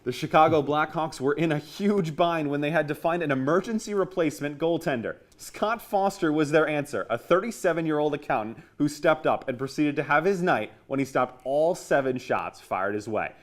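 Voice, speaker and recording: A man's voice: fairly high pitch, moderate pace, few pauses. A man in his twenties hosting a sports talk show, debating emphatically and repeating key words for stress. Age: 20s.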